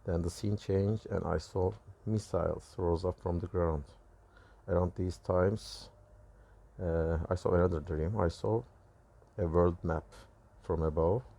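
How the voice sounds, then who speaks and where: A man with a Turkish accent and Turkish as his first language gives a personal testimony, recounting visions in halting, self-correcting phrases. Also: low voice, creaky, warm tone. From Turkey. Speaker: religious video creator.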